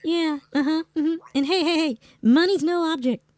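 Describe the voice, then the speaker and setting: A woman's voice: sits high, normal speed, a little mellow, no echo, natural voice. A woman playing a brash, foul-mouthed, impatient nag in a comedic audio drama, brusque and colloquial. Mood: bossy, irritated.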